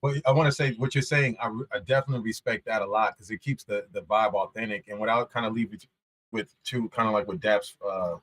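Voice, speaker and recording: A man's voice: fairly low pitch, fairly even intonation, fast, fairly bright tone, poor audio. A man whose first language is Shona, a guest talking casually on a podcast, laid-back and full of slang.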